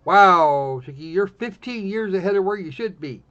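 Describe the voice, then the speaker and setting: A man giving casual, snarky live commentary on a game, blunt and halting, often restarting his phrases. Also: sits high, lively intonation, bright, forceful tone. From United States. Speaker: live streamer playing a visual novel. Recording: dull, lo-fi stream audio.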